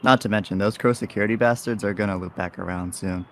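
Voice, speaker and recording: A man's voice: on the low side, fairly even intonation, fast, fairly bright tone. A man playing an easygoing, cheeky young rogue in an audio drama, talking in casual, wry slang. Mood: urgent, coaxing. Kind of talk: audio roleplay drama acting.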